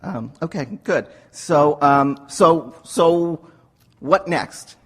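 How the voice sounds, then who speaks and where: A man speaking casually and spontaneously as an audience member, husky, halting and repeating words as he thinks. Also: fairly high pitch. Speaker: audience member asking questions. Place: seminar room with audience. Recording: live room with audience noise.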